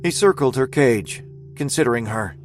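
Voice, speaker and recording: A man narrating a scripted dramatic story as its storyteller, in a measured, suspenseful manner. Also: mid-range voice, lively intonation, soft background music, lightly acted.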